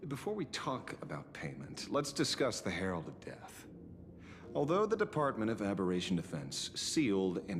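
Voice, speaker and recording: An actor playing a wry, dogged male detective in a video game, sardonic and defiant, with theatrical, pointed phrasing. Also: deep voice. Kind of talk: scripted video game voice acting. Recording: long reverb, music behind. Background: music.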